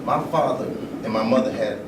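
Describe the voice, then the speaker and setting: A man giving a personal account in an informal discussion, in short, halting phrases with pauses. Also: medium pitch. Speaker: panel speaker. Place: large room at a live event. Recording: roomy, distant mic, clear background noise.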